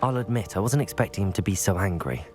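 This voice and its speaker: A man's voice: low voice, expressive, fast talker, soft background music. A young man playing a composed, thoughtful student wizard in a video game, speaking politely and with reserve. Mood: sympathetic. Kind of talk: video game cutscene acting.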